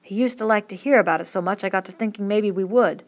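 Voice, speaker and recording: A woman voicing a weary, quiet ranch worker who speaks in a soft rural drawl. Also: medium pitch, fast, lightly acted.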